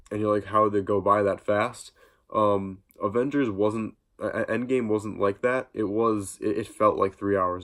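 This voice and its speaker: A man's voice: lowish voice, a bit bright. A young man with a stuffy nose from a cold, chatting casually in a vlog and rambling with lots of ums and restarts. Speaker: YouTube vlogger. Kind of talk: casual reaction vlog talk.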